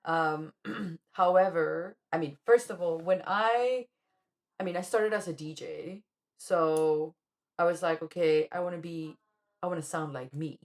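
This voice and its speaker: A woman from Colombia, a DJ and music producer, co-hosting a casual podcast conversation and telling her story in a reflective, animated way. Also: on the low side, unhurried.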